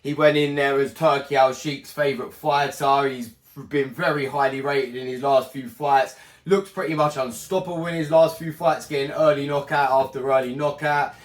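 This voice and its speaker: A man whose voice is husky and strained after a night of shouting, talking in a chatty, rambling way and often restarting his sentences. His pitch sits a little higher than usual, and the room around him sounds echoey. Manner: chatty, rambling, self-correcting. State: hoarse, croaky strained voice. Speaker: boxing channel host. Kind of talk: casual fight recap monologue.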